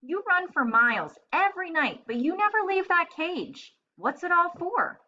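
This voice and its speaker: Sly female storybook cat, read by a woman, condescending and coaxing, animated, on a slightly lo-fi recording. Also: extremely high pitch, normal speed, voice pushed up, heavily acted. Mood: scornful. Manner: disdainful, coaxing.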